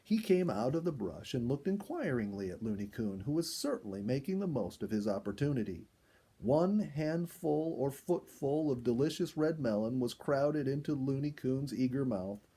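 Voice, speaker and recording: A man reading a children's story aloud as an expressive storyteller in scripted narration. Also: medium pitch, moderate pace, slightly rough.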